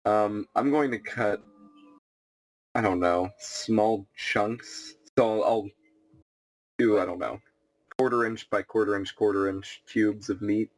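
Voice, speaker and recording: Low calm man in tabletop roleplay. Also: slow pace, pauses often, low-quality recording. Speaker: player.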